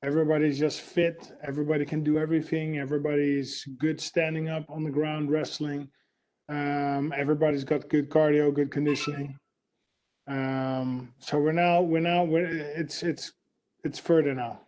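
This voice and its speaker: Dutch-accented male fighter, slightly high voice, plain answers, lots of uhs. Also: flat delivery, moderate pace, a little mellow. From Netherlands. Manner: short plain answers with many fillers. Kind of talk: press interview answers.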